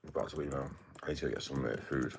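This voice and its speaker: A British man with a deep voice, chatting casually on a livestream in a halting, mumbly way full of fillers. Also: steady tone, vocal fry, slight background noise. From United Kingdom. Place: indoors, getting ready to go out.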